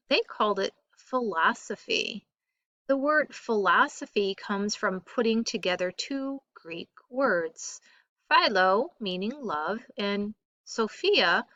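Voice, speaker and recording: Slow, bright-voiced woman reading aloud. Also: mid-range voice, no echo. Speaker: teacher reading a textbook aloud. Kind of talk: read-aloud narration of a school textbook.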